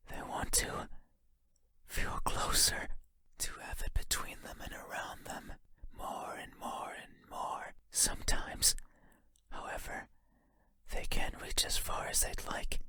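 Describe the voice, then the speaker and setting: An actor playing a creepy, sorrowful giant creature in a horror game, whispering slowly and intimately in a breathy, musing way. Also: male voice, sharp tone. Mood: eerie, longing. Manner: slow, intimate, deliberate, musing.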